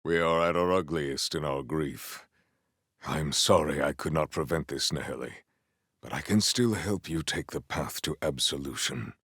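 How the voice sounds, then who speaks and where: An actor playing a proud, formal sci-fi warrior in a video game, speaking in a grandiose, deliberate and earnest way. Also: male voice, rumbling low, a bit bright, slightly rough, dry recording, studio quality, exaggerated voice. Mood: solemn. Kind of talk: video game character voice lines.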